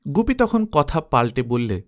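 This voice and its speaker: A man narrating a literary story in a measured, dramatic storytelling manner. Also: on the high side, sing-song. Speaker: story reader. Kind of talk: expressive scripted story narration.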